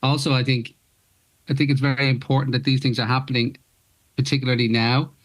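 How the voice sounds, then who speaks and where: A man's voice: medium pitch, flat delivery, a little fast, rough recording. An Irish man whose first language is Irish, an actor guest in a radio interview, talking earnestly with many stops and restarts. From Ireland.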